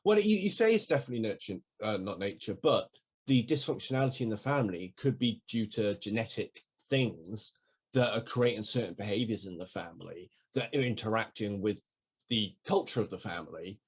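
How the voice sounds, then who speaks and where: A man who grew up in Wales and England, co-hosting a podcast with a low-pitched voice. He talks things through analytically, sometimes stumbling over a word as he reasons aloud. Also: expressive, a little fast, husky. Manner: thoughtful, analytical, some stammering restarts. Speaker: podcast co-host. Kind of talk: casual conversation.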